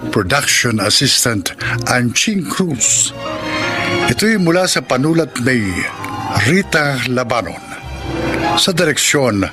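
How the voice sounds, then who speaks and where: A man narrating and reading credits formally and dramatically as a radio drama announcer. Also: medium pitch. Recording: music underneath.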